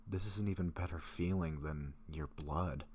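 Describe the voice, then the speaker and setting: Man playing a very deep, hesitant gentle vampire. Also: slight background noise, natural voice. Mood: tender, happy. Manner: hesitant, breathy murmurs, halting phrases. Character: gentle, apologetic lovestruck young male vampire, shyly hungry. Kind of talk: intimate audio roleplay.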